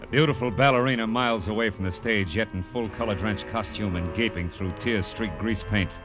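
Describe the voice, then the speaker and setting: A low-voiced American private eye played by a male actor, tough and world-weary. He talks in clipped, deadpan lines, narrating in wry first person like classic 1940s radio noir. Music plays behind him on an old radio drama recording. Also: brisk, natural voice. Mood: wry narration. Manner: clipped, hard-boiled, deadpan. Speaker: lead actor and narrator of a detective serial.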